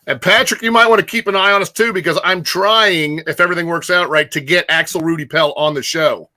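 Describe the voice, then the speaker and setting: A man with a bright, forward voice sitting a little high chats casually as a co-host. The sound is dry and close, and the talk is loose and conversational. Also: brisk, no room sound. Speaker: live show co-host. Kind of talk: casual chat.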